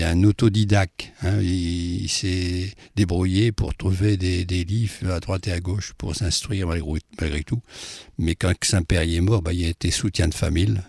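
A man giving an informal talk to an audience, halting and chatty, often tagging his phrases with "hein". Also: low-pitched, gravelly, a little mellow, no echo, clean studio sound. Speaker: speaker presenting a dialect poet.

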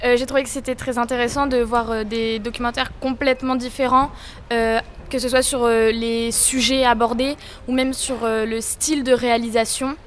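Teenage female juror, light high voice, halting. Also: little pitch movement, a little hiss or hum. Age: teens. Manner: many fillers, thoughtful. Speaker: student juror.

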